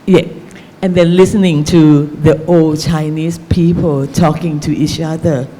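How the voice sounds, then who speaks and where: A woman with a Thai accent, speaking English as a novelist on an academic panel, halting and searching for words with many fillers. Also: low voice, fairly even intonation, mellow, a little hiss or hum, low-quality recording. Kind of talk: panel discussion answer.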